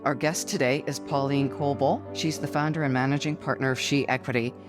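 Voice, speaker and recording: A woman's voice: rumbling low, fairly even intonation, brisk, music in the background. A woman hosting an interview, reading a polished introduction and asking encouraging questions. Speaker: podcast host.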